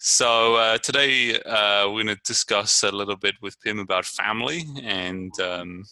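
A man from England, hosting a podcast in a relaxed, chatty way with frequent fillers. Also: fairly low pitch, moderate pace, smooth, no room sound. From Brighton, England. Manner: relaxed, filler-heavy questions. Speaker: podcast host.

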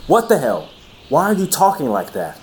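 A man's voice: on the high side, huge pitch swings, normal speed, a little hiss or hum. A man acting in an audio drama as a worried, earnest young boyfriend who pleads and lectures. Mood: alarmed.

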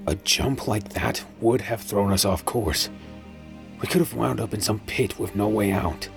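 Weary space pirate, low gravelly voice, sardonic, sci-fi audio drama storytelling, music underneath. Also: male voice, unhurried. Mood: earnest. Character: weary, sardonic male space pirate narrating his tale. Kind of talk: first-person audio drama narration with acted dialogue.

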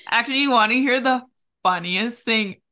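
A woman's voice: a little high, normal speed, pushed and bright. A woman hosting a podcast, chatting and telling stories with frequent laughter. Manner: chatty, giggly storyteller. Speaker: podcast host. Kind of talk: casual podcast chat.

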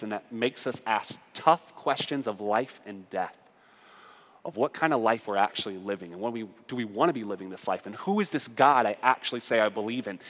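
A man preaching as a pastor in a conversational, impassioned sermon, with emphatic delivery and rhetorical turns. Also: mid-range voice. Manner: emphatic, swings between forceful declaration and quiet confiding, rhetorical questions. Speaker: pastor preaching to his church. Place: large echoing room, preaching to a congregation. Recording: reverberant hall.

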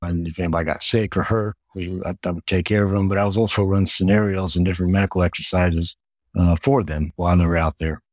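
A man's voice: low-pitched, steady tone, quick pace, a little mellow, dry recording, studio quality. A middle-aged man, born in the United States with Hungarian as his first language, answering interview questions steadily and listing things with frequent word repeats. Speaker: guest, retired Air Force medic.

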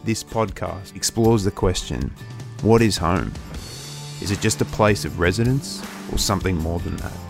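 A man with a very deep, gravelly voice narrating a podcast script. His delivery is measured and earnest, and a clear music bed plays under him. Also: lively intonation. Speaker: podcast host.

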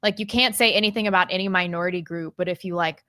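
A woman co-hosting a call-in show, reacting with quick, emphatic comments. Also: fairly low pitch, fairly even intonation, sharp tone, no echo, clean studio sound. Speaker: co-host. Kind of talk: casual call-in show chat.

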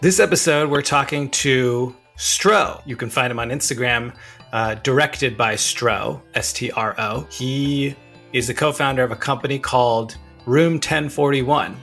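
A man's voice: mid-range voice, a little slow, vocal fry, a bit bright, faint music behind. A man hosting a podcast interview, upbeat and eager, with frequent fillers. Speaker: podcast host. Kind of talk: casual interview chat.

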